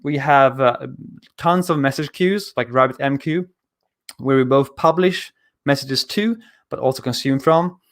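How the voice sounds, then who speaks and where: Man giving a casual tech talk. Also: a little high. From Sweden. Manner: relaxed, conversational, frequent filler sounds. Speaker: conference speaker. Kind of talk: technical talk over a video call. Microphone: studio mic on arm, video call.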